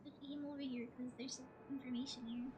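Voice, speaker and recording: A woman's voice: sits high, little pitch movement, fast, noisy background. A woman game streamer giving casual, chatty asides as she follows a story game on a livestream.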